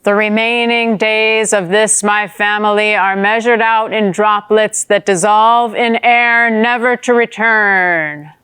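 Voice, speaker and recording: Lady doing a noble sad fish, super ceremonious, slow and declaiming, pitched up. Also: steady tone, a bit bright, smooth, dry recording. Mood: grave sorrow. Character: noble, sorrowful, ceremonious fish, righteous and devout. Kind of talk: dramatic monologue poetry performance.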